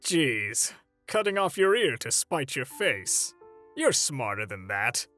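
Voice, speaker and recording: A man playing an older superhero mentor in a comic dub, paternal and gently stern, with a theatrical, measured delivery. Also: medium pitch, big pitch swings, faint music behind, full character voice. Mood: probing. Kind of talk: dramatic comic dub voice acting.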